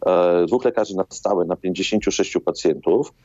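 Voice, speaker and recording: Male medical guest, forties, low mellow voice, halting explanations, dull video-call sound. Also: dry recording. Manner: hesitant, repeats words as he explains. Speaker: doctor, hospital chief interviewed as guest. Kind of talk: live radio interview answers.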